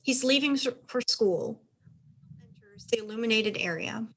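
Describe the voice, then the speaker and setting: A woman's voice: on the high side, animated, normal speed, poor audio. A woman reading stage directions as narrator for a dramatized script reading, in scripted narration.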